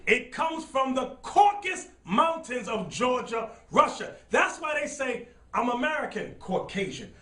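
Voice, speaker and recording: Fiery high-voiced male preacher, shouting. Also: big pitch swings, measured pace, few pauses, recorded from a distance. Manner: shouts, repeats phrases, directs the reader. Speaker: preacher teaching from scripture. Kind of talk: fiery street-style bible teaching. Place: studio set with dark backdrop. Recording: roomy sound from off-mic.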